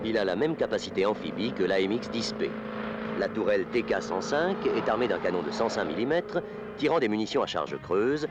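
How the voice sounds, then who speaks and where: A man delivers formal instructional film narration. His voice sits fairly low and moves with very lively intonation. He reads in a measured, declarative documentary style, with music clearly playing behind him.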